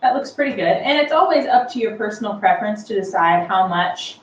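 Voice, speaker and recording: A woman's voice: mid-range voice, expressive, fairly quick, muffled recording. A woman giving a casual, chatty, unscripted baking how-to, explaining each step as the host with light self-deprecation. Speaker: host of a home baking tutorial. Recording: roomy, distant mic with clear background noise.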